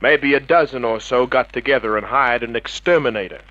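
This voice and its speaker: An actor playing a wisecracking, cynical private detective with an American accent, dry and sardonic in a 1950s radio drama manner. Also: male voice, medium pitch, a little fast, pushed and bright, lightly acted. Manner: dry, sardonic, hard-boiled. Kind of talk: 1950s hard-boiled radio drama acting and narration. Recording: muffled vintage radio recording.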